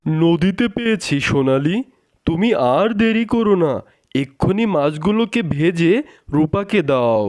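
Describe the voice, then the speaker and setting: A man's voice: fairly high pitch, animated, unhurried, a little mellow. A man voicing a doting, teasing, poor fisherman father in a cartoon. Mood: pleased. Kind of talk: cartoon character dialogue.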